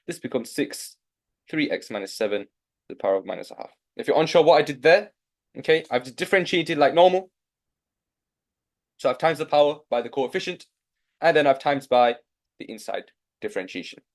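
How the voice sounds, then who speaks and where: A young man with a Yorkshire accent teaching a live online maths lesson, coaxing his students along with steady encouragement. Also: on the high side, fairly quick, pauses often. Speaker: maths tutor.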